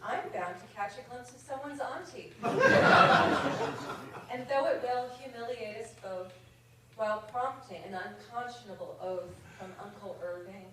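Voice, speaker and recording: A woman poet reading her own comic verse aloud at a conference, with measured comic timing. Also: mid-range voice, very noisy. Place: indoor conference reading room. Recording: very echoey room from far off. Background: audience laughter.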